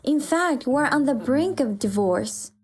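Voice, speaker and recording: A woman's voice: high-pitched, expressive, measured pace. A woman playing an indignant, fed-up working wife and mother in a manga dub, firm and articulate. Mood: firm, cold. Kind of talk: manga dub acting and first-person story narration.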